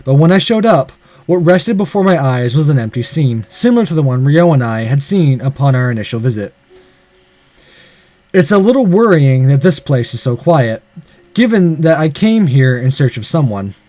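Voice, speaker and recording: A man reading a visual novel aloud in an expressive way, with casual, sweary off-the-cuff commentary as a let's player. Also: fairly high pitch, normal speed.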